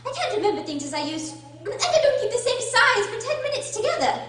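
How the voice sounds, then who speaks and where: A woman's voice: very high voice, big pitch swings, brisk, fairly bright tone, exaggerated voice. A woman playing a polite, bewildered little girl in a radio drama, earnest, hesitant and easily flustered. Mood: polite, timid. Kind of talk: amateur radio drama acting. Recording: very echoey room, distant mic, faint noise.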